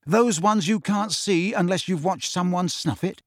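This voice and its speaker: Audiobook character, man as sceptical teenage boy, pitched up, blunt, indignant, quick temper. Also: dry recording, clean studio sound. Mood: uncertain.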